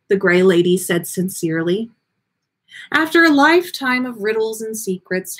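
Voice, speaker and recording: A woman hosting a story livestream, reading aloud and chatting in an expressive, effusive way. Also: mid-range voice, husky. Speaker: podcast host reading listener stories.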